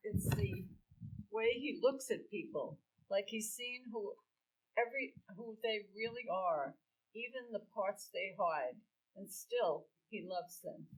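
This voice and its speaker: A woman reads a dusty, peaceful man giving heartfelt testimony in a church drama. The delivery is unhurried and earnest. The voice sounds distant from the mic. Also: mid-range voice, natural voice. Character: dusty, peaceful grown man, a longtime follower. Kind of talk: amateur church dramatic reading. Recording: voice from far off the mic.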